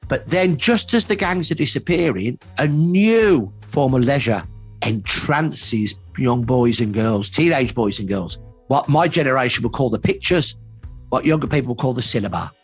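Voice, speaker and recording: A man in his sixties speaking as a history expert in a documentary interview, explaining emphatically with rhetorical questions and deliberate lists. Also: mid-range voice, animated, slightly rough, soft background music. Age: 60s.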